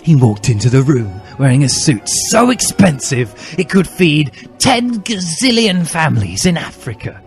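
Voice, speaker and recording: A man's voice: medium pitch, creaky, husky. A man giving a dramatic, mock-serious reading of comic fan fiction with theatrical emphasis. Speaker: reader. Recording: music behind the voice.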